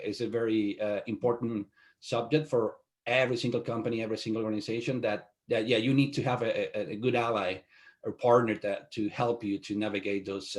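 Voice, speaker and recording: Low-voiced Venezuelan-accented man, halting. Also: a little mellow. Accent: Venezuelan Spanish. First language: Spanish. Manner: halting, many fillers and restarts. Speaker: guest, IT company CEO. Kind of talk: casual interview answers.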